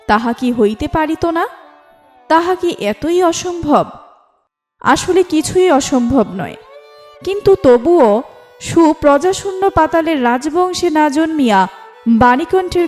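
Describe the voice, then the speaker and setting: High-voiced woman narrating a literary story. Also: darkish tone. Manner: formal storytelling delivery. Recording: music bed under the voice. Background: instrumental music.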